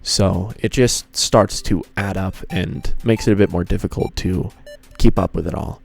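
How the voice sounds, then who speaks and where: A man's voice: low voice, steady tone, normal speed, creaky, a little mellow, soft background music. A man hosting a fan fiction reading and narrating in a casual style. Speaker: host and fan fiction reader.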